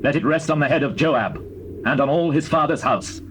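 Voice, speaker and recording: Actor as solemn, measured King David. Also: on the high side, fairly bright tone, close to an everyday voice. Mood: indignant. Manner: measured, weighty, declamatory. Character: King David, solemn, grave, commanding male monarch. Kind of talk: dramatized Bible reading. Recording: noisy old cassette tape transfer.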